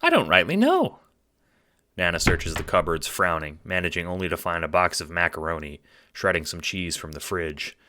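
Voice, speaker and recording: A man's voice: low-pitched, level tone, fairly quick, sharp tone. A man giving a scripted second-person audiobook narration with dramatic storytelling. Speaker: narrator and producer.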